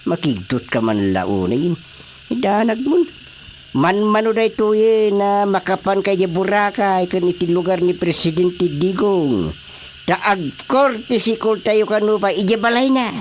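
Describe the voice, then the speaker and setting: A man's voice: high-pitched, unhurried, slight background noise. Joking old Ilocano village chairman. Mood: teasing, jovial. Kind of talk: Ilocano radio drama comedy acting.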